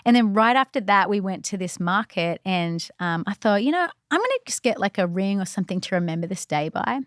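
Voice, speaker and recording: A woman's voice: mid-range voice, expressive, brisk, dry recording, studio quality. A woman guest on a podcast, telling personal faith stories in an earnest, chatty way, full of "like" and "you know". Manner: earnest and reflective. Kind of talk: casual faith storytelling.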